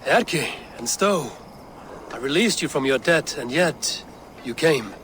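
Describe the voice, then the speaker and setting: An actor playing a stern male Viking raid leader in a video game cutscene, speaking in a grave, measured, commanding way. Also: mid-range voice, lively intonation, a bit bright, a little hiss or hum. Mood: resolute.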